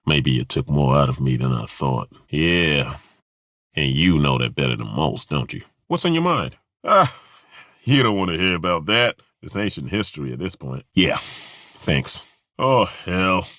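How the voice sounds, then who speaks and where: A man's voice: low voice, sharp tone, no echo, exaggerated voice. An actor playing a weary middle-aged male mercenary and former arena fighter, talking in a dry, sardonic, laid-back way. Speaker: companion character. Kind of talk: video game voice lines. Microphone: close studio mic.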